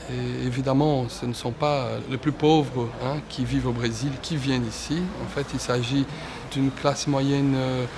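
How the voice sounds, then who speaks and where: A man speaks as an expert in an interview. He halts often and fills gaps with short sounds. His pitch is middling. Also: flat delivery, unhurried, background noise. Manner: hesitant, many fillers. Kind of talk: documentary interview.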